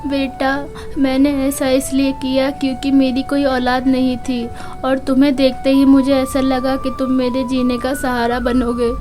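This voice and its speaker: A woman acts out a gentle servant mother from a children's storybook, high-voiced and very even. She speaks plainly and apologetically, full of remorse, over soft music. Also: moderate pace, hardly any pauses, close to an everyday voice. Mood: remorseful, pleading. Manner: earnest, apologetic, plain-spoken.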